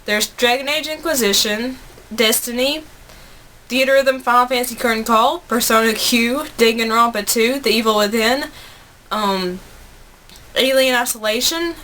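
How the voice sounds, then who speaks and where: A woman's voice: fairly high pitch, pushed and bright. An American woman in her twenties chatting casually and earnestly to viewers as a video blogger, answering questions in an informal, rambling way on a noisy home recording. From United States. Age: 20s. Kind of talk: casual chat to camera.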